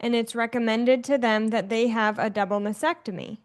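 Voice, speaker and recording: A woman's voice: a little high, steady tone, normal speed. A woman hosting a podcast interview, who is curious and asks her questions directly. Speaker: podcast host. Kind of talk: conversational interview.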